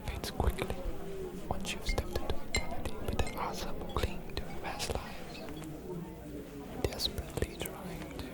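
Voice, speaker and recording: A man playing an ancient, aloof male vampire scholar in an ASMR roleplay, speaking in a formal, musing, courteous whisper. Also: high voice. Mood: philosophical, guiding. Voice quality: breathy whisper. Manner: measured, formal, musing, hesitant pauses.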